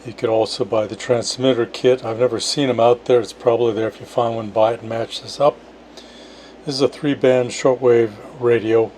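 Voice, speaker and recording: A man's voice: medium pitch, fairly even intonation, moderate pace, darkish tone. A man giving a casual, enthusiastic product demonstration in short plain phrases, as an amateur radio operator. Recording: noisy, radio static and hum.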